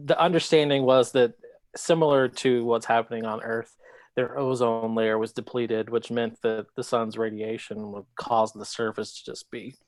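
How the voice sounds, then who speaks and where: A man's voice: mid-range voice, level tone, normal speed, no room sound. A man speaking casually as a panelist in a book club discussion. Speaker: podcast panelist.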